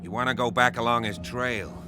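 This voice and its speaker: An actor playing a gruff, irritable police officer in a video game, curt and authoritative. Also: male voice, mid-range voice, fairly even intonation, moderate pace, close to an everyday voice. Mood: firm, grudging. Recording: music behind the voice.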